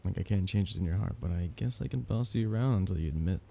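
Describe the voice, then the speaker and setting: A man playing a possessive, doting young mafia heir in an intimate ASMR romance roleplay, soft-spoken and halting, with breathy chuckles. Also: deep voice, slight background noise. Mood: playful, coaxing.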